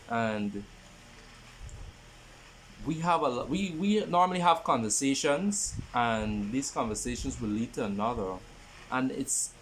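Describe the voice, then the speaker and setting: Young man, halting podcaster, noisy recording. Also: medium pitch. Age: 20s. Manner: halting, often repeats phrases. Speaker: podcast host. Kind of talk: casual podcast introduction.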